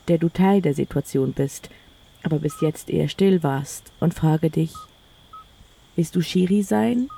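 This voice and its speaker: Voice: mid-range voice, vocal fry, warm tone, breathy edge. A narrator telling a first-person audio story in the present tense, weaving quoted dialogue into the narration.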